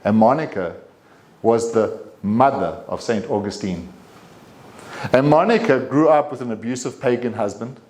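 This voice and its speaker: Male, 50s, South African accent, mid-range pitch; personal storytelling speech, deliberate with dramatic pauses. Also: darkish tone. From Durban, South Africa. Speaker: club speaker giving a personal speech.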